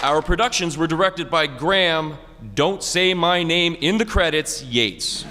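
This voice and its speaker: Guy doing old timey radio announcing, highish voice, echoey noisy hall. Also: unhurried, over a noisy crowd. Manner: formal showman delivery. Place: theatre stage before an audience. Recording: echoey hall, audience and background noise.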